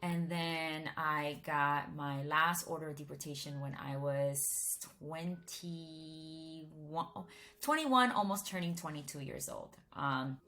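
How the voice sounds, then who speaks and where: A woman in her thirties with a low, deep-set voice tells her personal testimony straight to camera. She keeps it easygoing and chatty and often restarts a sentence partway through. She grew up in Miami. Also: sharp tone. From Miami, Florida, United States. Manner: casual and conversational, with frequent restarts.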